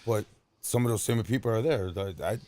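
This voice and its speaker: Male podcast host, quick-paced and blunt. Casual street slang, lots of swearing, conversational. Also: fairly low pitch, dark tone.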